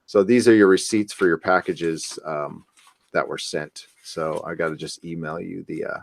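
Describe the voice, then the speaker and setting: A man's voice: low-pitched, fairly even intonation, moderate pace, vocal fry, slight background noise. A man hosting a podcast in loose, joking, casual chat and reading out comments. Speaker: podcast host.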